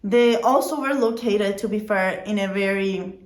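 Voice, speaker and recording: A woman's voice: medium pitch, moderate pace, reverberant. A young woman, not a native English speaker, explaining things casually in a vlog with fillers and self-corrections. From outside the Netherlands. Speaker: vlogger.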